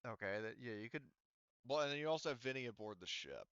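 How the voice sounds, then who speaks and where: A man working as game master, talking casually and wordily, thinking aloud and halting as he narrates a tabletop game. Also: mid-range voice, expressive, fairly bright tone, clear, resonant tone, no echo, dull audio.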